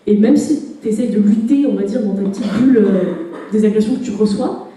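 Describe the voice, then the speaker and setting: Young french woman chatting casually on a panel, very dark mellow voice, far from the mic. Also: medium pitch, fairly quick. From France. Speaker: conference panelist. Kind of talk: panel discussion talk. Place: conference hall. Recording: echoey hall, voice from far off.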